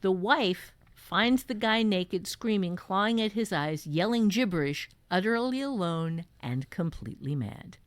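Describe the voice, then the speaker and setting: A woman's voice: medium pitch, animated, unhurried, few pauses, no echo. A middle-aged woman co-hosting a writing workshop, chatting casually and talking through story ideas as an author and teacher.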